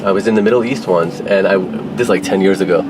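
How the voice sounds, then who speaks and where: A man's voice: deep voice, fast talker, noisy background. A man from Lebanon, interviewing in a chatty, curious way from inside a car. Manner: chatty, curious, laughing easily. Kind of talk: casual conversational interview.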